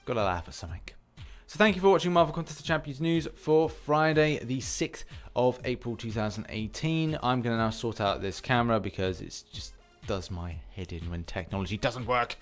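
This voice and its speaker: A man's voice: medium pitch, animated, moderate pace, faint music behind. A man hosting a gaming news video, talking in a chatty, rambling, conversational way with casual commentary and asides.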